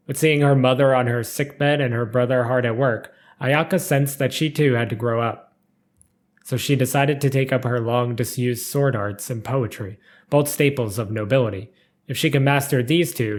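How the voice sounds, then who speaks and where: A man giving a scripted narration of a video game character's backstory. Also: mid-range voice. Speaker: narrator reading game lore.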